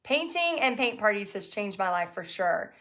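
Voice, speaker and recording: A middle-aged woman with a hoarse, raspy voice, chatting casually on a live stream, folksy and full of fillers. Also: medium pitch, sing-song, moderate pace, sharp tone. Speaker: painting instructor hosting a live stream. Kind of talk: casual live-stream chat, reading comments.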